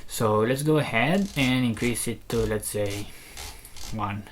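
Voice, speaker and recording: A presenter giving an unscripted technical demonstration, explaining step by step in a hesitant, halting way with short fragments. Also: mid-range voice, slight background noise. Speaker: presenter demonstrating audio equipment.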